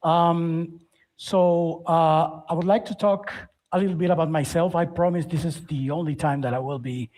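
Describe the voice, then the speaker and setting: A middle-aged man gives an informal tech presentation, haltingly, often repeating words and phrases. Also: fairly high pitch, steady tone, a little mellow. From Dominican Republic. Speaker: conference speaker, software developer.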